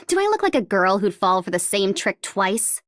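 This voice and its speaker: A woman's voice: medium pitch, big pitch swings, moderate pace, fairly bright tone, no echo, clean studio sound. A woman voicing a cocky, vengeful, mischievous mercenary captain in a video game, with a taunting, sardonic and theatrical delivery. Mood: sardonic, bitter. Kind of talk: English video game character voice lines.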